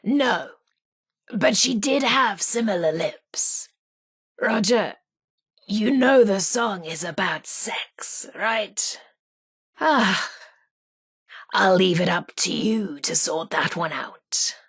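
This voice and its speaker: Casting: chirpy, coy British female radio co-host, vintage patter, breathy and slightly creaky, unhurried game role. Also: mid-range voice, expressive, a bit bright, exaggerated voice. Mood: puzzled, teasing.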